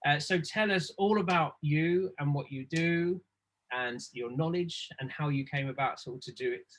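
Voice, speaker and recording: An eager host opens an interview in an everyday mid-range voice. The delivery is enthusiastic but halting, full of fillers and restarts. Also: medium pitch, fairly bright tone. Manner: enthusiastic, stumbling with many fillers. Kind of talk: casual interview chat.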